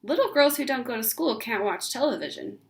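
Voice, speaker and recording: Storybook mom, read by a woman: raised pitch, brisk, firm, exasperated scolding. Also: expressive, gravelly. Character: exasperated mother scolding her little girl.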